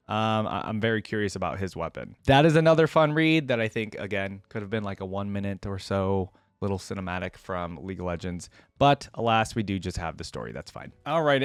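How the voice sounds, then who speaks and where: A man giving casual reaction commentary, curious and conversational, reading aloud and thinking out loud. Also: medium pitch, dry recording. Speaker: video creator reacting to game lore.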